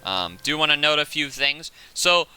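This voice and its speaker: A man giving casual, unscripted commentary as the host of a game footage breakdown, excitable and often correcting himself. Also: a little high, normal speed, smooth.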